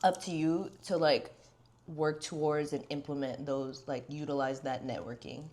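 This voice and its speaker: A woman's voice: low-pitched, fairly even intonation, normal speed, darkish tone. A young woman hosting a podcast, chatting candidly, swearing freely and filling her talk with "like". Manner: candid, swears freely, heavy filler words. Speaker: podcast host. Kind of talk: casual podcast chat.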